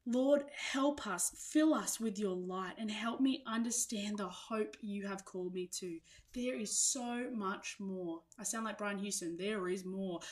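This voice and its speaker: A woman preacher speaks to her congregation with a mid-pitched voice. Her delivery is earnest and reflective, moving between personal sharing and gentle exhortation in an informal sermon style. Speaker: church preacher.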